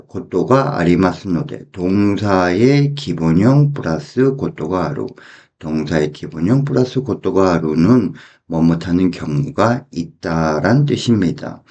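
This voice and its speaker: Guy explaining phrases bit by bit, mellow and slow, repeats stuff. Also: on the low side. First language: Korean. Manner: deliberate, repeating phrases and translating them piece by piece. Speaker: Japanese language teacher. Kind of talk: explanatory language lesson.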